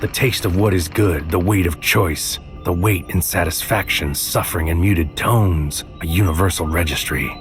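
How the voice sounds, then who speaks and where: An actor playing a brooding, anxious infiltrator, narrating a surreal sci-fi audio drama in terse, deliberate, poetic phrases. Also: male voice, sits low, lightly acted. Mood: ominous, brooding. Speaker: narrator and lead character. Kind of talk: scripted first-person noir sci-fi audio drama narration. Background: music under the voice.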